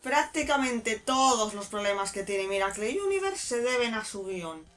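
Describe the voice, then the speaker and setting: Higher-voiced woman narrating over clear music. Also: lively intonation, normal speed. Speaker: co-host narrator. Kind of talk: scripted review narration.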